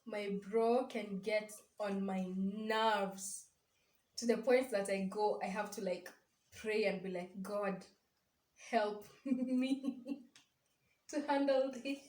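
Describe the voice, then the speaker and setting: A woman's voice: mid-range voice, steady tone, slow pace, a little mellow. A young woman with a Kenyan accent chatting casually with her sister, giggly and often searching for her words. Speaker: vlogger. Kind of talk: casual sibling tag chat. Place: small bare room indoors. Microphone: camera across the room. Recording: echoey room, distant mic.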